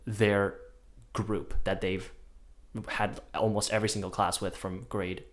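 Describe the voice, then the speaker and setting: A young man, host of a podcast, talking casually and relaxed, often tagging on "right?". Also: lowish voice, unhurried. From China. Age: young adult. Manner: relaxed, conversational, rhetorical "right?".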